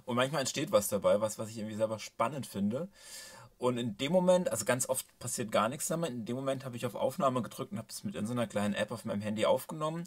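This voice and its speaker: A man in his thirties hosting a casual talk in his small home studio, explaining things in a relaxed way with many fillers. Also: mid-range voice, quick pace. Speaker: host, mixing engineer. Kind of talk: casual presenting.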